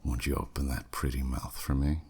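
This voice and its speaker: A man's voice: little pitch movement, moderate pace, a little mellow, a little hiss or hum. A man voicing a flirty, menacing demon who taunts a captive in a teasing, smug way, in a scripted ASMR roleplay. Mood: mocking, flirty. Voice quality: very deep, husky, breathy at phrase ends. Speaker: audio roleplay performer.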